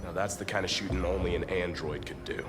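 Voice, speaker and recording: An actor plays a businesslike male police officer giving a briefing in a game drama. His voice is extremely low, his delivery plain and helpful. Loud music plays behind him. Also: fairly even intonation, fast talker, close to an everyday voice. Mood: matter-of-fact. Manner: matter-of-fact, helpful. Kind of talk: dramatic video game acting.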